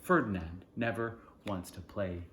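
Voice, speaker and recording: A man's voice: fairly low pitch, unhurried, a bit bright, roomy sound, a little hiss or hum. A man reading a children's storybook aloud, expressively, in short phrases with informal asides. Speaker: storybook reader.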